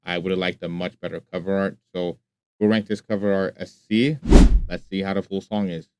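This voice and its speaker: A man's voice: sits low, steady tone, fairly quick. A man hosting a music reaction video, talking casually, bluntly and with plenty of swearing. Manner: blunt and profane, speaks his mind.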